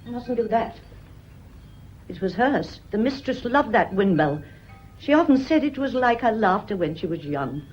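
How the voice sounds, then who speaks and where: A woman's voice: fairly high pitch, big pitch swings, moderate pace, a little mellow, breathy edge, faint music behind. An actress playing a devoted, prying woman servant, deferential yet pointed, in a period radio mystery. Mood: reverent. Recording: dull, muffled old radio recording.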